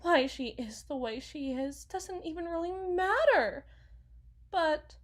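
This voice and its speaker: Casting: actress as fragile, self-blaming housewife and mother for audio roleplay; high voice, very unhurried, halting and defensive. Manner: halting, rambling, self-correcting, sighing. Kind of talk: ASMR dramatic monologue roleplay.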